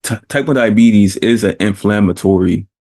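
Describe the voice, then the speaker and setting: A man hosting a podcast, talking in a relaxed, hesitant way with fillers and chuckles. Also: fairly low pitch, moderate pace. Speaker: podcast host. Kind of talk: casual interview chat.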